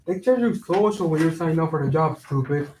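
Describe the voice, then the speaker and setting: A man's voice: fairly high pitch, lively intonation, brisk, a little mellow, slight background noise, close to an everyday voice. An actor playing a cautious, skeptical young father in a staged reading, answering his friend with blunt slangy retorts. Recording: roomy echo, distant microphone.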